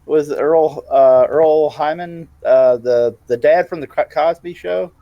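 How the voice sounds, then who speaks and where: A man chatting in an animated way, sharing anecdotes with friends. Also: on the high side, takes it slowly, no room sound, muffled recording. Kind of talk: casual chat.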